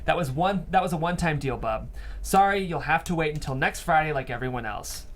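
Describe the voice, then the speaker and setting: A man's voice: fairly high pitch, animated, fairly quick, close to an everyday voice. Man reading a dry, wisecracking boss. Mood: dismissive, joking. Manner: dismissive, wisecracking. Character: dry, joking male slaughterhouse manager. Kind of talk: table read acting.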